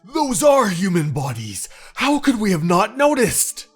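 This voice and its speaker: A man doing a panicked young fisherman's shrill cry. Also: huge pitch swings, a little slow, husky, soft background music, full character voice. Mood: alarmed. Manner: shrill, alarmed shouting.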